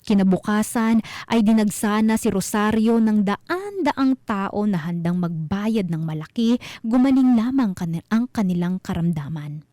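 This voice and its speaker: A woman narrating a scripted horror story for radio, with deliberate, suspenseful phrasing. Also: medium pitch, animated, dark tone, dry recording, clean studio sound.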